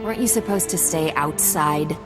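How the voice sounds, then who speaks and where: A woman's voice: low voice, normal speed, loud music behind the voice, close to an everyday voice. A woman playing a breezy, self-assured smuggler captain in a video game. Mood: probing. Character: cocky, easygoing female smuggler captain.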